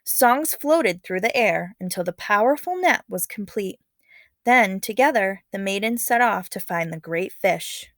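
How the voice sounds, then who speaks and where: A woman's voice: mid-range voice, expressive, unhurried, a bit bright, dry recording. A woman reading a story aloud as a teacher, expressively, with casual, encouraging comments along the way.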